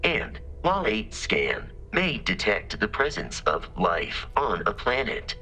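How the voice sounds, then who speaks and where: A courteous robot companion from a video game, low and crisp-edged. It speaks formally and precisely, with literal-minded dry humor in a deadpan delivery, over faint music. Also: male voice, takes it slowly, exaggerated voice. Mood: informative, measured. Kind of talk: scripted video game character dialogue.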